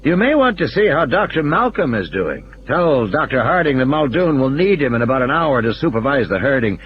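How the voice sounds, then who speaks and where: A man's voice: mid-range voice, sing-song, brisk, lo-fi sound, pitched above the performer's own voice, lightly acted. A man voicing a matter-of-fact male control room engineer who explains technical things with assurance, in audio play dialogue. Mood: assured, explaining.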